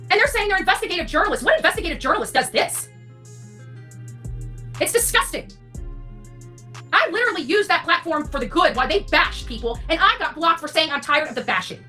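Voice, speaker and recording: A woman's voice: sits high, bright, forceful tone. A woman delivering an impassioned, blunt rant to an online audience, indignant and emphatic, often swearing. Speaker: online true crime content creator. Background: background music.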